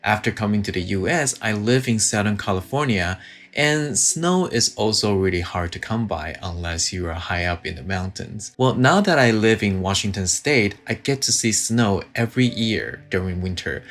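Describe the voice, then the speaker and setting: A man in his forties from Taiwan, calmly explaining a watercolor painting lesson from his home studio. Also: fairly low pitch. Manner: calm, gentle, unhurried explaining. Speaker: watercolor teacher. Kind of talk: painting tutorial. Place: home studio with guitar and shelves.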